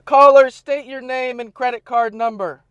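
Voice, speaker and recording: High-voiced man hosting a casual podcast. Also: steady tone, normal speed, a bit bright, clean tone, no room sound, lo-fi sound. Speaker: podcast host. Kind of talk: casual chat. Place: remote video call.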